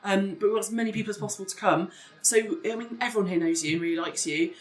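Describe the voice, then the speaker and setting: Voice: medium pitch, fast talker. Chatty, persuasive player, mid-range voice. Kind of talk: casual game chat and in-character talk.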